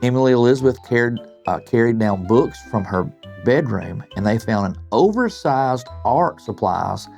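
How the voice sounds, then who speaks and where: An older man reading a bedtime story to children, expressive, with chatty asides and questions to his listeners. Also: medium pitch, normal speed, gravelly, faint music behind. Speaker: guest storybook reader, retired principal.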